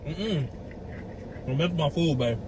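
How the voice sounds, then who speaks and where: A man's voice: a little high, expressive, measured pace, noisy background. A young man driving a car, teasingly brushing off his wife while he eats, recorded on a dashboard camera. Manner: teasing, dismissive, talking while eating. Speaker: husband in a prank video. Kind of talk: casual bickering. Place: driver's seat of a car.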